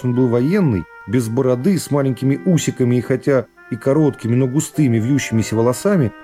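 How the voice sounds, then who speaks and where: A middle-aged man reading classic prose as an expressive dramatic narration for a radio serial. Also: medium pitch. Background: music.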